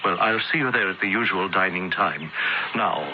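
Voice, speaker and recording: A man's voice: low-pitched, moderate pace. An actor playing a scheming, outwardly solicitous holy man in an old-time radio drama. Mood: guarded, scheming. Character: smooth scheming Egyptian temple priest, outwardly solicitous. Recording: very noisy muffled old radio recording.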